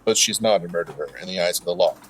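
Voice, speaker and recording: An actor playing a brisk, matter-of-fact police captain in a radio crime comedy. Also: male voice, low-pitched, a bit bright, a little hiss or hum, rough recording. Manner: brisk, factual. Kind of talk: old-time radio crime acting.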